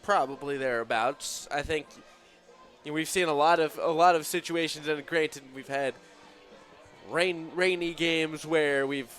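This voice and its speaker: A man with a slightly high voice works as color commentator on a sports broadcast. He analyzes the game in a conversational way. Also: unhurried, a bit bright, faint music behind.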